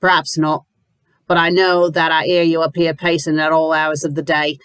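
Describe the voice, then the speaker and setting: A woman voicing a brisk, bossy middle-aged landlady who talks firmly and sternly. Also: sits low, flat delivery, fairly bright tone, smooth, dry recording.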